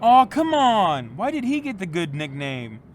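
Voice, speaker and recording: A man playing an excitable, complaining teammate with a dramatic way of talking. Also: sits high, a little slow, slight background noise, full character voice. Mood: whiny. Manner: dramatic, exclamatory. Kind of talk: audio fiction acting. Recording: music bed behind the voice.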